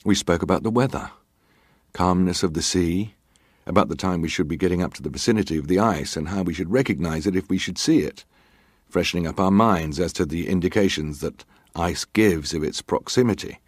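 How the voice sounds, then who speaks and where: Casting: middle-aged male naval officer in hearing drama, deep voice, clipped formal answers, close dry sound. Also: a little fast, no echo. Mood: guarded, composed. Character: formal ship's officer testifying, guarded and terse. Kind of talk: dramatised reading of hearing testimony.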